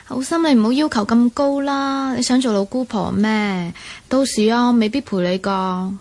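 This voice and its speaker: Woman acting higher-voiced, shy student in radio drama. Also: moderate pace, darkish tone, lightly acted. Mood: wry, familiar. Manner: reserved, self-effacing. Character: shy, homely young woman student who loves cooking.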